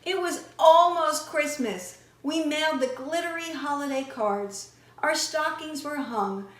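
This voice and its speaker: A middle-aged woman reading a children's story aloud expressively, with deliberate, child-directed questions to listeners. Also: sits high, a bit bright. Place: small indoor room with shelves. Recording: roomy indoor echo.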